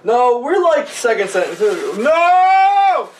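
A man's voice: extremely high pitch, big pitch swings, slow pace, echoey room. A young man in boisterous, joking, profane casual banter with a group, recorded in a small room from a distant camera. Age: young adult. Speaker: podcast panelist. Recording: distant mic, noisy room, clicks.

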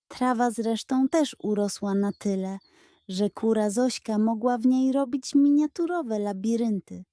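A woman narrating a scripted children's bedtime story in a gentle, expressive manner. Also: a little high, no room sound, clean studio sound.

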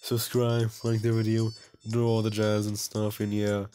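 A man's voice: lowish voice, completely flat delivery, normal speed, dark tone. A man doing casual playthrough commentary and reading game text aloud in a goofy, self-mocking way. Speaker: let's player.

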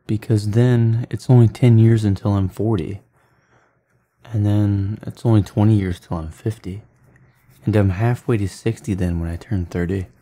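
A man's voice: lowish voice, warm tone, echoey room. A man in his late twenties talking casually in a rambling vlog, with lots of fillers and restarts. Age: late 20s. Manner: meandering, many fillers and restarts. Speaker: art vlogger. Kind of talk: voice-over while drawing. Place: small apartment kitchen, indoors. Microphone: handheld camera at arm's length.

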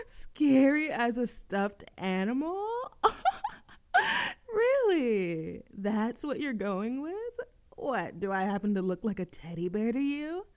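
Slow-talking, teasing young barista played by a woman. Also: fairly high pitch, sing-song, a little mellow. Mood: mock indignant.

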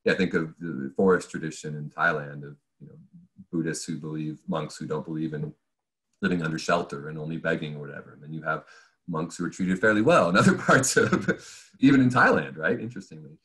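A man's voice: very deep voice, a little fast, fairly bright tone. A man who is a professor and podcast guest, talking conversationally in an analytical, discursive way and voicing imagined questions. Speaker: podcast guest, philosophy and religious studies professor.

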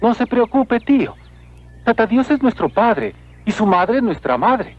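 High-voiced humble Mexican peasant. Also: male voice, big pitch swings, measured pace, full character voice. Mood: cheerful, earnest. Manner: simple, earnest, deferential. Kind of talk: animated film voice acting. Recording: dull lo-fi old film soundtrack.

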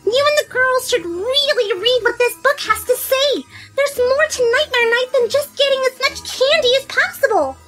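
Cartoon mare, very high squeaky pitch, emphatic and pleading, over clear background music. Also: female voice, normal speed, few pauses, low-quality recording. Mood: insistent. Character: excitable female cartoon pony, urgent and pleading. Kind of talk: fan fiction audio drama. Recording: music behind the voice.

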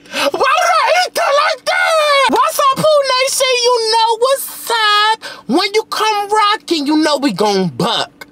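A young woman in her late teens vlogging casually to a handheld camera, excitable and full of slangy exclamations and squeals. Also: extremely high pitch. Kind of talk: casual vlog chat to camera. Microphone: handheld camera close to face.

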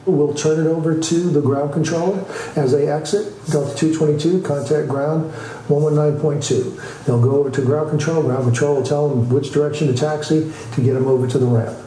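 A man's voice: fairly high pitch, steady tone, brisk, mellow. A man working as an air traffic controller, explaining procedures and demonstrating radio phraseology. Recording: very echoey replayed video, faint noise.

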